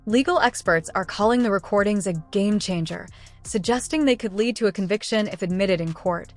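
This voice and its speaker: A woman's voice: mid-range voice, a little fast, faint music behind. A woman reading scripted news narration in a dramatic style.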